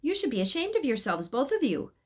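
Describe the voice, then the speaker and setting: A woman voicing a gentle but scolding male angel in a storybook, deliberate and reproachful. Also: high-pitched, big pitch swings, voice pushed up. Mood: indignant.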